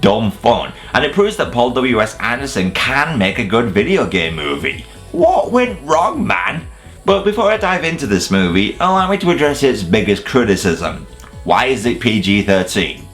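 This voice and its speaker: A man with a fairly deep voice narrates a scripted, opinionated review over soft background music. His delivery is very animated, wry and blunt, with casual swearing. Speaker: video reviewer presenting a top ten list.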